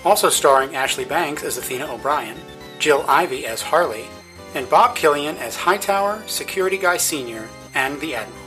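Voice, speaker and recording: A man's voice: fairly high pitch, animated, normal speed, sharp tone, rough recording. Man reading show credits over guitar music. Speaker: credits announcer. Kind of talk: scripted credits narration with tongue-in-cheek patron pitch. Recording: music behind the voice. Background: plucked guitar music.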